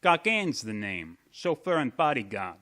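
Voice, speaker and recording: A man's voice: medium pitch, very animated, unhurried, bright, forceful tone. An actor playing a brash, slangy bodyguard and small-time chiseler in a radio mystery, with cocky wise-guy talk.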